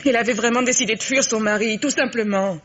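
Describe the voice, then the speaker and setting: An actress playing a frightened, defensive young woman accomplice under questioning, halting and insistent, in old French radio mystery style. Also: on the high side, fairly bright tone, close to an everyday voice. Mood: tense explaining. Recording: muffled, hissy old radio drama recording.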